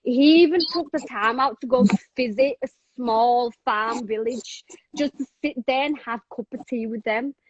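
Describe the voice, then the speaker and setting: A woman's voice: a little high, moderate pace, no room sound, highs cut off. A woman from Thailand now living in England, chatting casually on a video call with many fillers and the odd swear word. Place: home in England.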